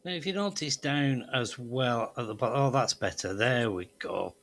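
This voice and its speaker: A man's voice: mid-range voice, moderate pace, fairly bright tone. A man presenting an amateur radio tutorial, explaining methodically step by step over a demonstration.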